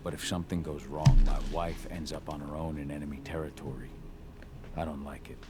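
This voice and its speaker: A really low gruff werewolf dad for a game, protective, kinda brooding, music blasting behind. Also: male voice, level tone, brisk. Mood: concerned. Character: gruff, protective werewolf husband and father, a weary fighter. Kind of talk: video game cutscene acting.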